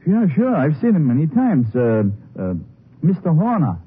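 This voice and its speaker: An actor playing a big, stolid, grieving German immigrant with a German accent and halting, defensive broken English in a 1950s radio drama. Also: male voice, a little high, sing-song, slightly rough, exaggerated voice. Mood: nervous, defensive. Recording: muffled, noisy 1950s radio recording.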